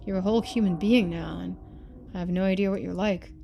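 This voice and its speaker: A woman's voice: on the low side, steady tone, brisk, creaky, lo-fi sound, faint music behind. A woman voicing a loving, lonely middle-aged father in a story game, reading his letters in an earnest, folksy manner. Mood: wistful.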